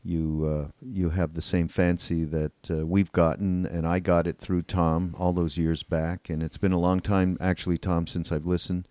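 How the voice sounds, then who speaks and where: An older man hosting a podcast in casual chat, with a meandering, halting delivery. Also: low voice, flat delivery, warm tone, dry recording. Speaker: podcast host.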